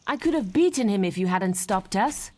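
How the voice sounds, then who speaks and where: A woman's voice: medium pitch, expressive, moderate pace, darkish tone, close to an everyday voice. An actress playing a cocky, competitive young female apprentice warrior with a brash, teasing manner in a fantasy video game. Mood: indignant.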